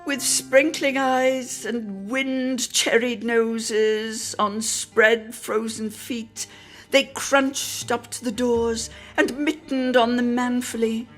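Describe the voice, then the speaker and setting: Female dramatic reader for literary memoir: highish voice, unhurried, theatrical delivery with drawn-out phrases. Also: steady tone, faint music behind. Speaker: solo reader.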